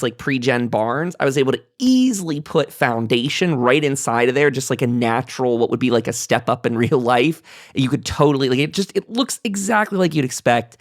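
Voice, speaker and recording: Podcast host for a casual game roundtable, gravelly fry, effusive and emphatic delivery. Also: mid-range voice. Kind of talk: casual enthusiastic panel discussion about a video game.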